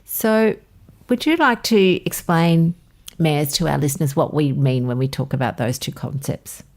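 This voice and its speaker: A low-pitched woman with an Australian accent, bantering on a podcast. Her manner is upbeat and chatty as she trades stories with her co-host. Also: measured pace, darkish tone. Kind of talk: casual podcast chat.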